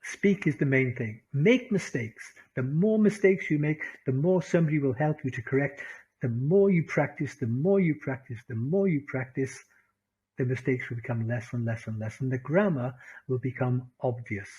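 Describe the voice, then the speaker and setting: A man with a Welsh accent teaching an online English class, animated, laughing often and repeating phrases for emphasis. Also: mid-range voice, moderate pace, breathy edge, highs cut off. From Wales.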